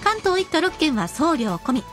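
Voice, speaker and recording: Female radio shopping announcer, high voice with lively, swooping intonation, scripted sales read. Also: normal speed, faint music behind.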